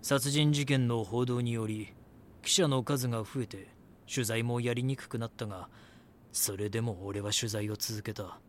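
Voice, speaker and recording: A man's voice: medium pitch, fairly even intonation, normal speed, a little hiss or hum, close to an everyday voice. An actor playing a reporter who narrates his investigation in a sombre, reflective way, as scripted drama.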